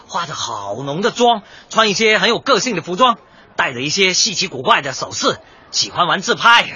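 An actor playing a cocky, argumentative young DJ with dyed hair in a light comedy radio drama. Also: male voice, high-pitched, pushed and bright, breathy edge, highs cut off, full character voice. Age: young adult. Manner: brash, glib, protesting, lecturing.

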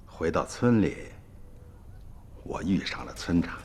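An actor, telling the story plainly. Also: male voice, sits low, expressive, takes it slowly, a little mellow, slight background noise. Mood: recalling. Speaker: narrator.

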